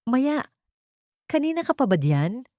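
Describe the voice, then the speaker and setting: A woman's voice: high voice, sing-song, a little slow, mellow, dry recording, pitched above the performer's own voice. Woman doing a nervous secretive old lady in an audio story, dry sound. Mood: frightened. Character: anxious, secretive old mother-in-law, fearful.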